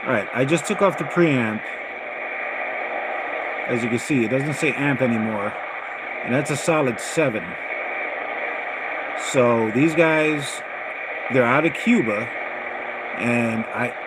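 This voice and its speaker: A man hosting a casual radio hobby livestream, talking in a halting, rambling, folksy way. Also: mid-range voice. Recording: loud radio static and noise. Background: noisy shortwave radio signal.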